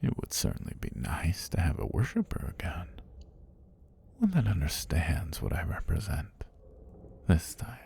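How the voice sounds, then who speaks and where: A man voicing an ancient serpent god, calm, predatory yet tender, in a slow, formal, whispery ASMR roleplay with a husky, breathy low voice. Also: full character voice. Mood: wistful, gentle. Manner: slow, deliberate, formal speech with whispery delivery. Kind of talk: intimate ASMR roleplay monologue.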